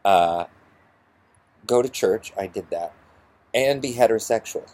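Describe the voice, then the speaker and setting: A man with a husky voice ranting through a personal story in an exasperated, sarcastic, profane way. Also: on the low side, measured pace, darkish tone. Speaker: vlogger.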